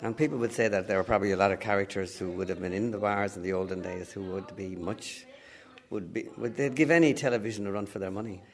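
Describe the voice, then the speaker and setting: A man talking reflectively in an interview, halting as he searches for words. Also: on the low side, steady tone. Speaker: actor interviewed.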